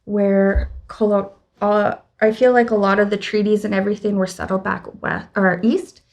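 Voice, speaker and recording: Halting young woman with a flat, gravelly voice. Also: mid-range voice. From western Canada. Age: young adult. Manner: thoughtful, halting, many fillers and restarts. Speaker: guest. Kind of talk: casual interview talk. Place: conference venue.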